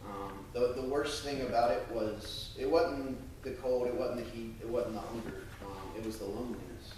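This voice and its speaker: An American man speaking earnestly and a little haltingly to a congregation, as a church member sharing testimony and scripture. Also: mid-range voice. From United States. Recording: very echoey room, distant mic, faint noise.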